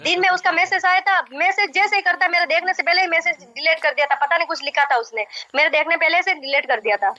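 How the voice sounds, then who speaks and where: A woman's voice: extremely high pitch, fast, few pauses, pushed and bright. A young woman arguing insistently and repeating herself on a recorded phone call. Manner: insistent, repetitive, argumentative. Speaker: caller in a marriage dispute. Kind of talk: heated phone conversation.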